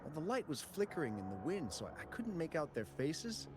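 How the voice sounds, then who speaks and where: Jittery miner with swooping pitch. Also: male voice, sing-song, a little fast. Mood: spooked, suspenseful. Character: jittery, talkative male mine worker, eyewitness to monsters. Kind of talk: video game voice acting. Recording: music playing behind the voice.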